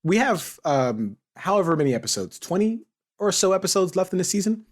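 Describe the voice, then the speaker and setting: Animated, slightly husky man chatting. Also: high-pitched, a little fast. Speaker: podcast co-host. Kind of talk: casual chat about a TV series.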